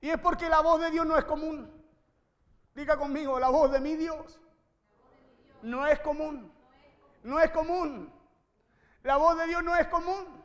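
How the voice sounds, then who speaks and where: High-pitched male preacher in a reverberant hall. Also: unhurried, pauses often, fairly bright tone. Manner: exhorting, repeats phrases for emphasis. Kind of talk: impassioned sermon to a congregation. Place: large reverberant room, preaching to a congregation.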